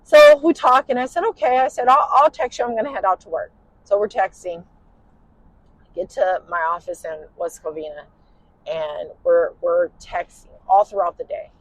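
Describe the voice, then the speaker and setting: A woman's voice comes out husky and breathy, the rough edge of a late night with no sleep. She sits at a middle pitch and tells a personal story the way you would to a friend, wandering off track and dropping "you know" every few breaths. She is talking from the driver's seat of a car on a long night drive. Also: normal speed, no room sound, low-quality recording. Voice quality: husky, low smoky voice. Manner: rambling, with many "you know" fillers. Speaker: podcast host. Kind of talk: casual storytelling. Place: inside a car while driving.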